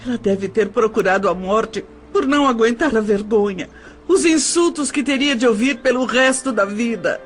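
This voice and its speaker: A woman's voice: on the high side, moderate pace, soft background music, lightly acted. An actress playing an anguished, bitter middle-aged Brazilian stepmother in an audio drama. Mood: bitter, distraught. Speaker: voice actor.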